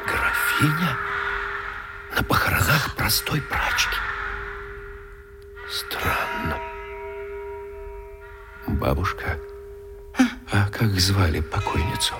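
An actor playing a curious, pensive Tsarist-era gentleman in a radio drama, musing and questioning. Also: lowish voice, very animated, slow pace, pauses often. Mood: curious, puzzled. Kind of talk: period radio drama acting. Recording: radio drama with music behind.

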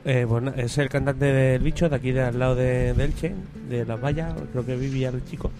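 Flat-voiced man presenting a song. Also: medium pitch, a little fast, over music. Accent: Spanish from Alicante. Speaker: radio show contributor presenting a song. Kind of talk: casual radio chat.

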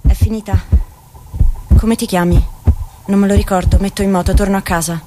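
A woman's voice: mid-range voice, fairly even intonation, fairly quick, background noise, lightly acted. An actress playing a naive, broke young mother-to-be, dreamy and stubborn, in a theatrical monologue of short clipped phrases. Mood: numb, intimate. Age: young adult. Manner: recounting in short present-tense phrases. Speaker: stage actress. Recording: music under the voice. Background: stage music.

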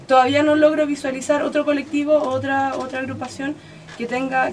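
A Chilean woman guest on a radio program talks quickly and enthusiastically in a fairly high voice. She sounds distant from the microphone, and clear room noise surrounds her. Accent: Chilean Spanish. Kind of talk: casual interview chat.

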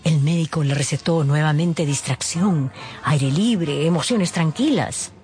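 A woman's voice: low voice, unhurried, a little mellow. A woman narrating a dramatised story expressively, with wry, gossipy asides. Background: background music.

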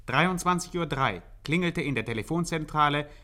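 A man's voice: a little high, normal speed, bright, forceful tone. A man narrating a 1950s radio serial in a formal, precise, mock-serious way.

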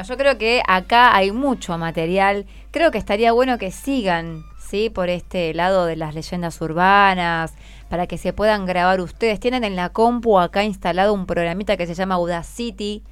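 A woman's voice: mid-range voice, moderate pace, clean tone, no room sound. A woman with an Argentine accent hosts radio, chatting casually and effusively as she encourages young guests. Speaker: radio host. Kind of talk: casual, encouraging interview with schoolchildren. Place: radio studio.

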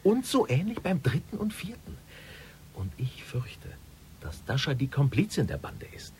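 Animated, anxious businessman. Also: a little high, unhurried, a little hiss or hum. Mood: worried, agitated. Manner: agitated, earnest, dramatic confiding. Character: anxious, lovesick wealthy businessman confiding in his half-sister. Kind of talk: radio crime drama acting.

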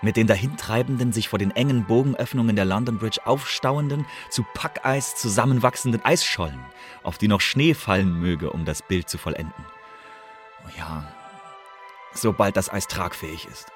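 A male actor plays a devoted young poet and secret agent of Elizabethan times in a radio play. His voice is very low, and he savors each image lyrically as he voices a letter home. Faint music runs behind him. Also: normal speed, close to an everyday voice. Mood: musing, painterly. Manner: lyrical, savoring descriptive lists. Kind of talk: German radio play monologue, literary letter.

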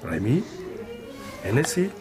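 A man's voice: medium pitch, sing-song, unhurried, over music. An actor playing a discreet, sly and courteous Japanese bartender in a radio play, polite and theatrical in his manner. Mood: courteous, servile.

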